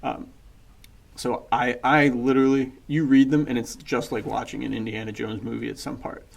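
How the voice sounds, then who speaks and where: A man's voice: medium pitch, little pitch movement, normal speed, creaky. A man chatting casually about books as a podcast co-host, in an enthusiastic, slangy and informal way. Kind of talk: casual book chat.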